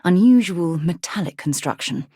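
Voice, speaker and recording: A woman's voice: on the low side, expressive, moderate pace, dark tone, husky, no room sound, studio quality. A woman voicing a composed, articulate and principled space explorer and expedition leader in a video game. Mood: composed, earnest. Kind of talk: video game companion dialogue.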